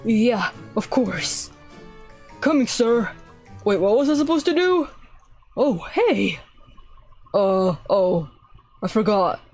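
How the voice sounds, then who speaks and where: A woman's voice: medium pitch, sing-song, takes it slowly, pauses often, a little mellow. A woman voicing an eager, forgetful male assistant to a scientist in a game roleplay. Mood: flustered. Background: music.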